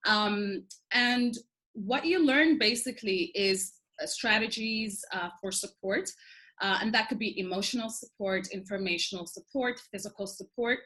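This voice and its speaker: A woman's voice: mid-range voice, normal speed. A woman in her thirties, originally from Sudan and raised in Dubai, speaking as a doula guest on a video-call interview, explaining at length with many ums. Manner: warm, explanatory, frequent ums.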